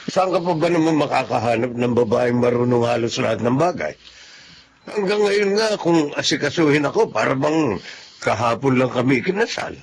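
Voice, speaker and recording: A man's voice: mid-range voice, animated, normal speed, pauses often, breathy edge. An actor playing a fatherly, contented older family man in a Filipino radio drama. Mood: fond, proud.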